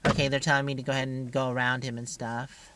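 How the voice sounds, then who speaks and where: A woman's voice: level tone, quick pace. An American woman chatting casually and informally as she vlogs from her motorhome, often talking to herself. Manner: chatty, informal, talks to self while driving. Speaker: RV travel vlogger and driver. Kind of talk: casual unscripted vlog chat. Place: inside a motorhome, outdoors on the road. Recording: noisy vehicle rumble and ambience.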